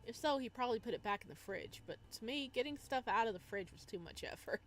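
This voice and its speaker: A woman's voice: fairly high pitch, expressive, quick pace, a bit bright. A woman playing through a visual novel, reading narration aloud and breaking in with casual, playful, teasing commentary. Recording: noticeable background noise.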